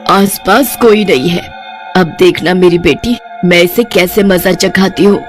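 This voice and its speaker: A woman's voice: fairly low pitch, unhurried, faint music behind, close to an everyday voice. Menacing ghost witch mother woman acting. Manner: menacing, aggrieved.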